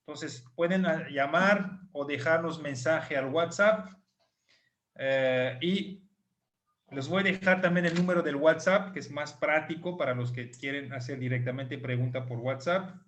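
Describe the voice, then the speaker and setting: A man with a slightly raised pitch speaks Spanish that is not his native language, as a clinic doctor on a video call. He talks patiently and repeats numbers slowly and carefully. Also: fairly bright tone. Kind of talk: online group talk.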